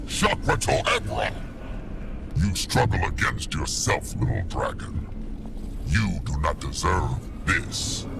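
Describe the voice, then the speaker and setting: Menacing fantasy game warlord: slow, gravelly, pompous and swooping, under loud music. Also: male voice, mid-range voice, exaggerated voice. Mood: fierce, commanding. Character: menacing, grandiose male warlord calling himself Dragon King. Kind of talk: dramatic fantasy video game acting.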